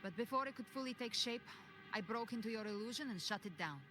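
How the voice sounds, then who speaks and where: A grave, knowing advisor in a game cutscene who explains things in a deliberate, serious way. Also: medium pitch, lively intonation, close to an everyday voice. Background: game music.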